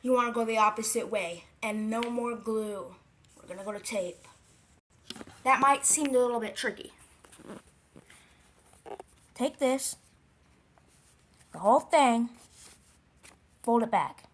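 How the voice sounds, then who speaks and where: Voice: mid-range voice, takes it slowly, pauses often, fairly bright tone, slight background noise. A video creator giving a casual how-to craft tutorial, rambling, correcting themselves and repeating points for emphasis. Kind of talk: casual DIY how-to vlog talk.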